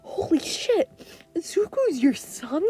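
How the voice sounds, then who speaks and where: Woman as teenage schoolgirl, sharp, blunt, emphatic, mellow timbre, fan story reading, music behind. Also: very high voice, big pitch swings, takes it slowly, voice pushed up, exaggerated voice. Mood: astonished. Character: sharp teenage schoolgirl, blurting out her realisations.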